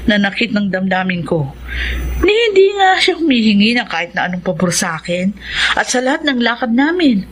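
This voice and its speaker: A woman's voice: medium pitch, very animated, a little fast, natural voice. A woman playing a devoted, hopeful middle-aged woman in an earnest, reassuring radio drama style. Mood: earnest pleading. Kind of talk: Filipino radio drama acting. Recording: noisy radio drama recording.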